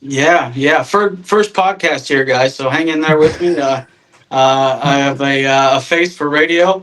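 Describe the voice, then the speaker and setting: Casting: thirties man from Northern Indiana, slightly high voice, modest and rambling, casual podcast guest over a call. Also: measured pace, a bit bright. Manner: self-deprecating, lots of fillers and restarts. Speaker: guest, RV sales manager.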